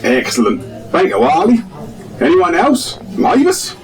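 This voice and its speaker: An actor playing a formal, officious village police constable in a comic radio drama. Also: male voice, sits high, huge pitch swings, a little slow, creaky, full character voice. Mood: officious, inquiring.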